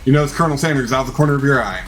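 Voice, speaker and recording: A man's voice: mid-range voice, very fast. Man dramatically reading game text over music. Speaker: video game playthrough narrator.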